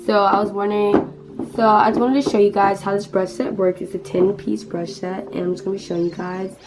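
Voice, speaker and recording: A teenage girl with a hoarse voice from losing it, chatting casually through a makeup tutorial with many fillers and restarts. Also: mid-range voice, little pitch movement, brisk, loud music behind the voice, low-quality recording. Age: teens. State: hoarse, lost voice. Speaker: beauty vlogger.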